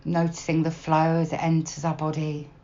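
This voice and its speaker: A woman guiding a meditation in a slow, soft, unhurried manner with long pauses between phrases. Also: low voice, monotone, muffled recording. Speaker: meditation guide. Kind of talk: guided body scan meditation, gentle instruction.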